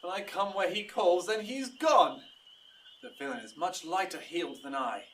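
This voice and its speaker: A man acts a vengeful young lover in a Shakespeare audio play. He declaims passionately in a high, bright voice at a slow pace, a touch distant with faint noise behind. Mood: frustrated weary. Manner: declamatory, impassioned.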